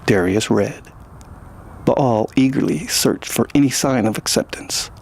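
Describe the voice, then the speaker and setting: A man reading scripted fiction as the narrator, in a gravelly, husky voice. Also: mid-range voice, measured pace, darkish tone.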